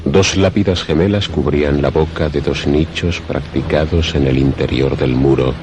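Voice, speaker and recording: A man narrating a scripted suspense radio play in a measured, literary storytelling manner. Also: extremely low pitch, fairly even intonation. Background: music under the voice.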